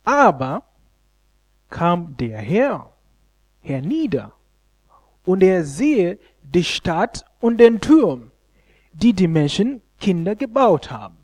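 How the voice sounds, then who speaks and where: A man, a Luganda speaker, preaching a sermon in an expressive, halting way and often stumbling over words. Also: high voice. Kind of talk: sermon with scripture reading and commentary.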